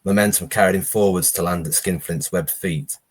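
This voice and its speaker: Deep, husky-voiced man reading horror fiction. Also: fairly even intonation, brisk. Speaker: author reading own short story.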